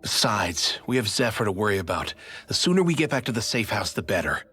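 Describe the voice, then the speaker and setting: Blunt male game rebel: fairly low, gruff, urgent, lively inflection. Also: a little fast, a little hiss or hum. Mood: pragmatic, urgent. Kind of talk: dramatic video game cutscene acting. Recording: music behind the voice.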